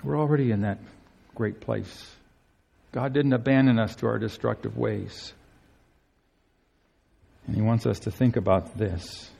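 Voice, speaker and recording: A male pastor preaching an earnest sermon, his voice climbing high to stress key phrases. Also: steady tone, measured pace, vocal fry, pauses often, dark tone, slightly rough. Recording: long hall reverb.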